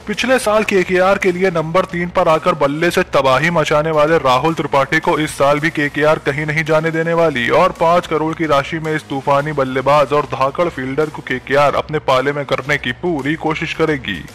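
A man reading a scripted sports news voice-over, slowing down to announce each name and figure. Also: fairly high pitch, a bit bright.

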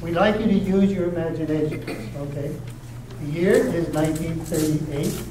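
A man's voice: on the high side, normal speed, dark tone. A man hosting a community readers theater, chatting with a live audience and often checking in with "okay?". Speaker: host introducing a readers theater show. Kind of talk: casual talk to a live audience. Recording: echoey room, distant mic, noisy.

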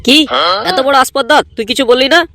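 Actor doing dim gang boss cartoon, super fast, sharp voice, flustered, noisy. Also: sits high. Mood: flustered, indignant. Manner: blustering, flustered. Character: slow-witted, blustering young gang boss led by his sidekick. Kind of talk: Bangla comedy cartoon dubbing.

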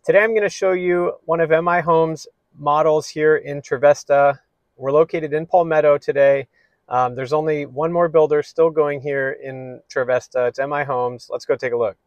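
A man's voice: on the high side, moderate pace, no room sound, muffled recording. Casual man talking to camera in a car. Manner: friendly, relaxed, many "um"s and "uh"s. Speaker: real estate agent. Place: inside a parked car. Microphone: phone or camera held at arm's length.

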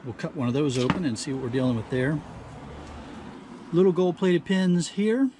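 A man's voice: fairly high pitch, mellow. Man thinking aloud, noisy background. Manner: halting, thinking aloud while working. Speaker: host of a scrapping how-to channel. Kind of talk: casual hands-on demonstration commentary. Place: at a workbench. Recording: clear background noise, handling clicks.

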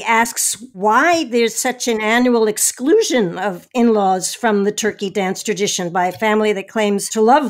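A woman hosting a conversational radio interview. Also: fairly high pitch. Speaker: host.

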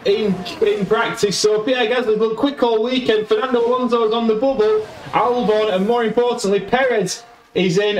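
A man's voice: high voice, echoey room, far from the mic, a little hiss or hum, rough recording. Yorkshire man calling a racing game. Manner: chatty, excitable play-by-play. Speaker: gaming YouTuber. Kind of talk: game commentary.

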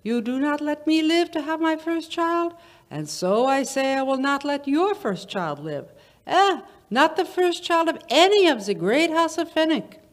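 High, swooping witch. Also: very animated, measured pace. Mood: mocking, menacing. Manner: theatrical, taunting. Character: vengeful condemned French witch, defiant and mocking. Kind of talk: old-time radio acting. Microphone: stage microphone.

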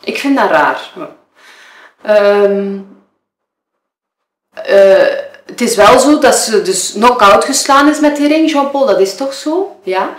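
A woman's voice: a little high, animated, slow pace, pauses often. A woman with a Flemish accent playing a nervous, gossipy model who gives a rambling, hesitant witness statement in an audio murder game. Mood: uneasy, accusing. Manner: hesitant, rambling, self-interrupting. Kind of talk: improvised-sounding monologue for an audio murder game. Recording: roomy, echoing room.

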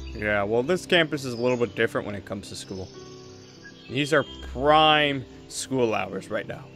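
A man playing an easygoing but firm father, a veteran exorcist in a Minecraft roleplay, talking in a relaxed, reassuring way. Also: mid-range voice, moderate pace, soft background music. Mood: explaining. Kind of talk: improvised Minecraft anime roleplay.

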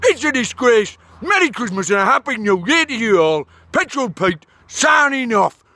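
Male actor as a shrill, indignant comedy ranter, dry close sound. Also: very animated, moderate pace, pushed and bright, dry recording, full character voice. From Britain. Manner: indignant, repetitive, declaiming to the camera. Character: blustering outraged middle-aged male petrol-price ranter. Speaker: comic character vlogger. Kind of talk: character comedy rant.

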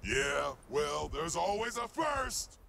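A man's voice: a little high, sing-song, slow pace, creaky, pushed and bright, slight background noise, heavily acted. A man playing a boastful, goofy, indignant panda sidekick in an audio drama, with exaggerated delivery. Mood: worried. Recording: faint music behind the voice.

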